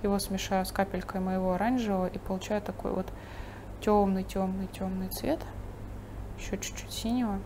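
A woman's voice: mid-range voice, moderate pace, noisy background. Woman painter talking through her work. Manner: thinking aloud while painting, calm and explanatory. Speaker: painting instructor. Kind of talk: painting demonstration with commentary. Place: studio table, overhead camera on the painting.

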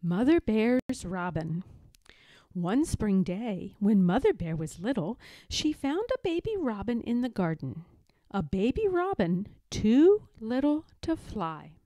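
A woman reading a children's storybook aloud as an expressive narrator. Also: mid-range voice, takes it slowly, no echo. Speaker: storybook reader.

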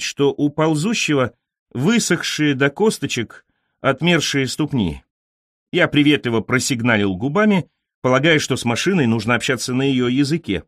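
A man reading literary fiction as an audiobook narrator, in an expressive, carefully scripted storytelling delivery. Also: medium pitch, dry recording, clean studio sound.

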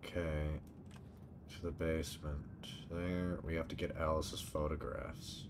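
A man's voice: very deep voice, little pitch movement, unhurried, background noise. A man giving casual reaction commentary as he streams a video game. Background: video game ambience.

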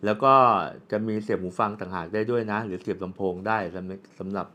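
Low-pitched man casually demonstrating gear. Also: fairly even intonation, fast, a bit bright. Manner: explanatory, with frequent polite particles and spoken handling sounds. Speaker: product reviewer. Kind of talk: casual unscripted product demonstration. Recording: clearly audible background ambient noise.

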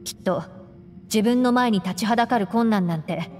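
A woman's voice: mid-range voice, moderate pace, darkish tone, natural voice. A woman playing a level-headed young gang deputy in an anime-style game, explaining things in a matter-of-fact, blunt way. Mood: reflective. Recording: reverberant, with music behind.

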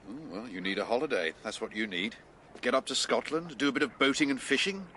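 An actor playing a breezy Victorian gentleman friend in a radio drama, with a jovial, blustering English manner. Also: medium pitch, quick pace, slight background noise, natural voice. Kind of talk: period radio drama acting.

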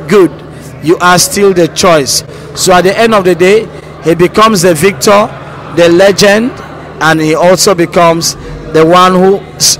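High-voiced middle-aged Nigerian man, noisy recording. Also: fairly bright tone. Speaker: stage actor being interviewed. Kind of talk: explaining a play's story in an interview. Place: large bare indoor hall. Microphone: handheld microphone held at the mouth. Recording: handheld mic with clear background noise.

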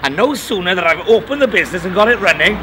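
A man's voice: sits high, expressive, fast talker, background noise. A man playing an indignant, flamboyant middle-aged pantomime dame, theatrical, gossipy and overemotional. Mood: indignant, flustered. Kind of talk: pantomime comedy acting. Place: outdoors on a street outside a shop. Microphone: handheld interview microphone. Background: music.

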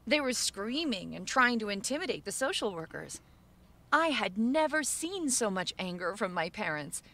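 A woman giving measured, subdued first-person narration for an animated story video. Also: medium pitch.

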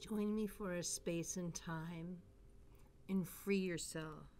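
A woman leading a guided meditation in a soothing, measured way, with spacious phrasing. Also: lowish voice, steady tone, a little mellow. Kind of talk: guided meditation over a sound bath. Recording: noisy recording with ringing chimes. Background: sound bath chimes and ambient wash.

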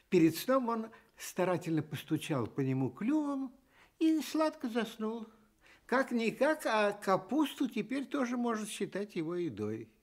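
Slow, expressive male storyteller. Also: sits high. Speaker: narrator. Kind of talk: expressive scripted children's storytelling.

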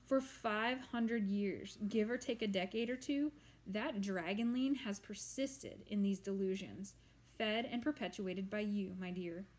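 Woman reader voicing a millennia-old male storybook monster; lofty, tired, drawn-out lecturing delivery. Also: medium pitch, natural voice, low-quality recording. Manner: grand, slow and formal. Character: ancient male swamp monster, weary and pompous.

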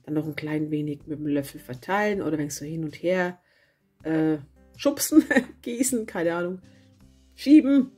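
Woman, husky voice hoarse from a cold, casual chatty kitchen voice-over, faint guitar music behind. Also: sits low, expressive, normal speed. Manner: chatty, self-correcting, homely. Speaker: cooking channel host. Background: soft guitar music.

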